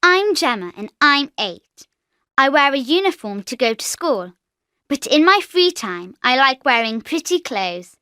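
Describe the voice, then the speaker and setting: A woman voicing a cheerful eight-year-old girl in a scripted language course, with clear enunciation. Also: high voice, very animated, measured pace, bright, forceful tone, no echo, clean studio sound, full character voice.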